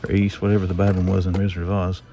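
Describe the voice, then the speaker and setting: A man's voice: sits low, monotone, rapid-fire, background noise. A middle-aged man with a Southern drawl, chatting to himself as he walks store aisles hunting for toys for his vlog. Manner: chatty, rambling, talks to himself while browsing shelves. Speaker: toy collector vlogger. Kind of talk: casual walk-through vlog.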